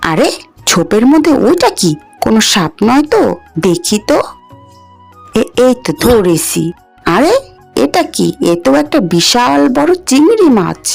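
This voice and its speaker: A woman voicing a poor, kind young village wife in a cartoon fairy tale, in a homely, expressive way. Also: a little high, a little slow, gravelly, long pauses, faint music behind, full character voice. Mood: startled.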